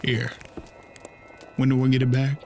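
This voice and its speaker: A man's voice: medium pitch, completely flat delivery, a little slow, darkish tone, faint music behind, natural voice. A man playing a folksy, polite frontier detective in a western audio drama, with plainspoken country phrasing. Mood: wary. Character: folksy, easygoing young frontier detective riding alone.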